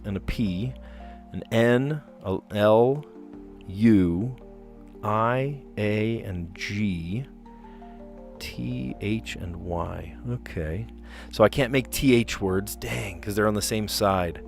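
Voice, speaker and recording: A man in his forties, livestreaming himself solving word puzzles and thinking aloud, easily amazed and chuckling, close to a desk microphone at home. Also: fairly low pitch. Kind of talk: casual livestream commentary. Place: home room, indoors. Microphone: close podcast mic on a desk.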